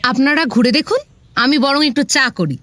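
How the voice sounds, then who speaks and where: An actress playing a practical, slightly uneasy newlywed wife in a horror audio drama, speaking in short, matter-of-fact sentences. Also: female voice, high-pitched, expressive, normal speed, fairly bright tone.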